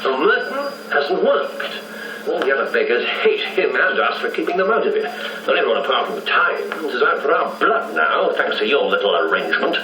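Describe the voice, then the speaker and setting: An actor playing an irascible, arrogant English archaeologist in a radio drama, brusque and exasperated. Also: male voice, medium pitch, big pitch swings, normal speed, distant mic, a crowd in the background. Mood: irritated. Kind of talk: 1990s radio drama acting. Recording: noisy roomy radio copy, off-mic.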